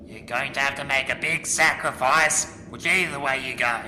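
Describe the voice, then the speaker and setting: A man's voice: medium pitch, moderate pace, sharp tone. A man playing an insistent, shifty kitchen colleague in an audio drama. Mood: evasive, insistent. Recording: noisy room ambience behind.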